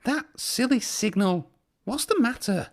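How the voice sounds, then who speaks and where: Guy playing a cheeky little train engine for kids, high voice, always in a hurry. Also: expressive, unhurried, heavily acted. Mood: cross, impatient. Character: cheeky, impatient young male steam engine.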